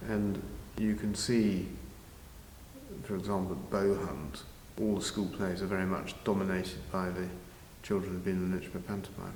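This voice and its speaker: Interviewee: older man, very low voice, halting and measured, recorded in a reverberant hall. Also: steady tone, a little mellow. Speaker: interviewee, village pantomime organiser. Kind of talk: informal interview answers. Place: large village hall, indoors. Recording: very echoey hall, faint noise.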